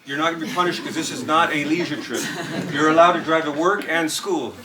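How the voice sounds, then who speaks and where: A man's voice: fairly high pitch, a little fast. A man from California, presenting a student project to a live audience and talking straight to them. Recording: distant mic with light noise in a room.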